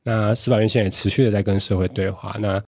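A man's voice: lowish voice, fairly even intonation, quick pace, darkish tone, no room sound, studio quality. A man with a Taiwanese Mandarin accent explaining things earnestly and at length, as a guest judge in a conversational interview.

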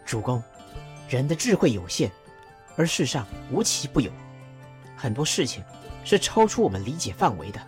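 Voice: medium pitch, sing-song, moderate pace, long pauses, soft background music, lightly acted. A performer voicing a learned male court advisor who explains a folk legend in a radio drama. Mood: explaining. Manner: explaining, storytelling.

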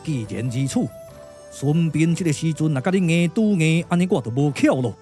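A man voicing a calm, confident elder general with a Taiwanese accent, in measured, formal puppet-drama declamation. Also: on the high side, faint music behind, natural voice. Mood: resolute, grave. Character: calm, confident strategist general Sun Bin, an elder uncle.

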